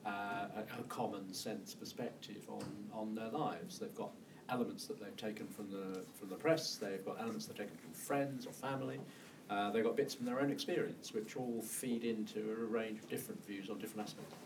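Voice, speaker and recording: A man's voice: fairly low pitch, fairly quick. A man taking part in an academic class discussion, building an argument point by point from the floor. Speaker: seminar participant. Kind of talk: argued contribution to class discussion. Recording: distant mic, clear room noise.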